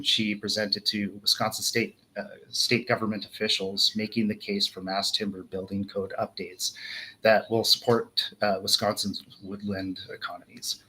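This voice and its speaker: A man's voice: fairly low pitch, pushed and bright, breathy edge, poor audio. A man in his thirties hosting an online webinar over a video call, introducing a guest and asking questions. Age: 30s. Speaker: host. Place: indoor room, video call.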